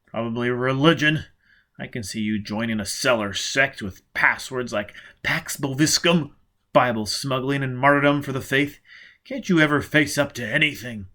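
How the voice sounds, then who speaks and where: Man doing a domineering hunter, loud, forceful, raised pitch, commanding, audiobook figure. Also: moderate pace, natural voice. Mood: taunting.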